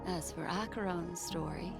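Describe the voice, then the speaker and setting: A woman's voice: lowish voice, fairly even intonation, normal speed, vocal fry. A woman voicing an elegant, mysterious memory keeper in a video game, velvety, courteous and faintly teasing. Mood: poised. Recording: game dialogue over music.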